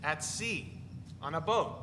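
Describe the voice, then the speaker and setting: A man's voice: fairly high pitch, lively intonation, slow, a little hiss or hum, exaggerated voice. An actor reading the part of a joking young man who spins tall tales over dinner, recorded live in a reverberant hall. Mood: teasing. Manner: bright, teasing, rambling banter. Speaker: reader in a staged reading.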